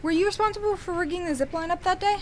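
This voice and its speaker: An actress playing a blunt, exasperated detective daughter with sarcastic, no-nonsense questioning in a comic audio drama. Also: female voice, very high-pitched, racing, a little hiss or hum. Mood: probing.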